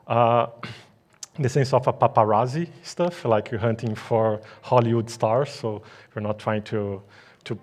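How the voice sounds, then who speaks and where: A man with a Brazilian Portuguese accent, a native Portuguese speaker, presents a technical talk at a conference. His voice sits at an ordinary pitch for a man. He talks in halting phrases, often pausing on fillers and restarting his sentences. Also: a little mellow. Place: conference hall.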